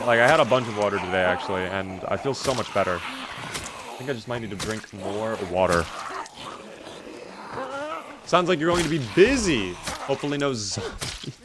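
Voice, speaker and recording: A man's voice: on the low side, background noise. A man streaming a game, chatting casually and answering his viewers while he plays; his voice was recently strained. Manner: chatty, reads and answers chat while playing. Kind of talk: casual live gameplay commentary.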